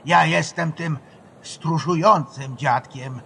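A man's voice: on the high side, slow pace, gravelly, a bit bright, a little hiss or hum, heavily acted. An actor playing an affectionate old grandfather spirit in a children's radio play, speaking tenderly and patiently. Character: affectionate old grandfather, a guardian spirit who has died.

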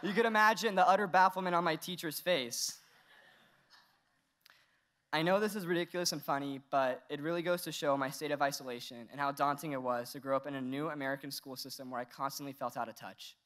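A man's voice: fairly high pitch, fairly even intonation, a little fast, a bit bright. A teenage man from Iran giving a valedictorian speech from a podium mic on a large indoor stage, earnest with touches of humor. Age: teens. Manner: earnest, with light humor and laughs. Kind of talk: graduation speech.

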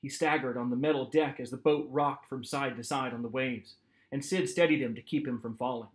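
A man in his forties reading a novel aloud as its author, with expressive, dramatic narration. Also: medium pitch, fairly quick. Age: 40s. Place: small home office, indoors.

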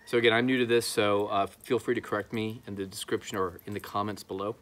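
A man in his thirties with a slightly low voice hosts a casual vlog. He explains things to the camera in a conversational way while sitting outdoors. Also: level tone, fast, a bit bright. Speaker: YouTube host, amateur radio hobbyist. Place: outdoors in a backyard.

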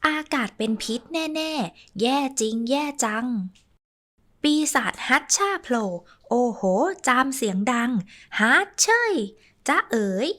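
A woman narrating a rhyming children's story in a sing-song, lively way, adding playful sound effects. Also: a little high.

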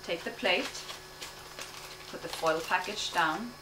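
A woman in her thirties from Sweden hosting a home cooking show, calmly explaining each step as she cooks in her kitchen. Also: on the low side, takes it slowly. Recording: echoey kitchen, distant mic, noisy.

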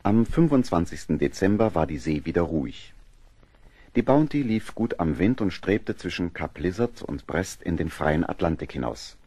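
Male drama narrator, low-pitched and husky, measured storytelling. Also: sing-song, dark tone, no room sound. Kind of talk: scripted radio play narration.

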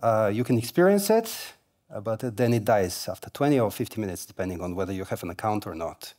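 Casual halting man at a keynote. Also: lowish voice, animated, a little mellow. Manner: casual, halting, many fillers, light humor. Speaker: conference speaker. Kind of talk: tech conference keynote. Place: large dark conference stage. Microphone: headset mic.

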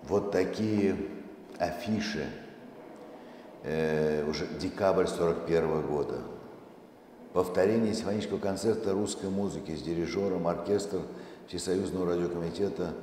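Deep-voiced man presenting in echoing hall. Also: moderate pace, mellow. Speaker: presenter, museum director. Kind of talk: public lecture presentation. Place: seated on stage in a large dark hall. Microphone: handheld microphone through hall speakers, far camera. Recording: very echoey hall with faint noise.

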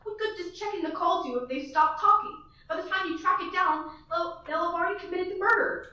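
A shrill, demanding invalid woman, played by a student actress in a school radio play, stammering and fretting. Her high voice comes from far off the mic in a very echoey room. The sound is dull and muffled. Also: fairly quick, pushed and bright. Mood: anxious. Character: nervous bedridden wealthy woman, shrill. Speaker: student actor.

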